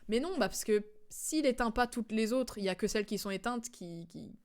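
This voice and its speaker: A woman's voice: on the high side, fairly quick. A young adult woman giving casual, rambling game commentary as a streamer, in informal, slangy speech.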